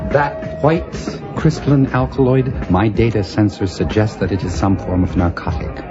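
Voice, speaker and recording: Man playing a polite, bewildered alien visitor, swinging pitch. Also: expressive, moderate pace, close to an everyday voice. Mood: earnest. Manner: formal, earnest, hesitant phrasing. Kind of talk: audio drama comedy acting. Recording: muffled audio with music and party crowd. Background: music, party chatter.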